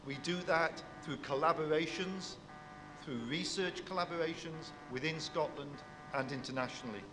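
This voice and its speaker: A man, a university professor, giving a measured formal speech to an audience, speaking with a big voice. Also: fairly high pitch, big-room sound, music in the background.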